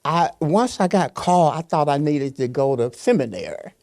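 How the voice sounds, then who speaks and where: A man's voice: a little high, expressive, moderate pace, creaky, darkish tone. An older man speaking off the cuff as a panelist, driving points home with short, repeated phrases like a preacher. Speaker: panelist, pastor. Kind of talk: unscripted motivational panel talk.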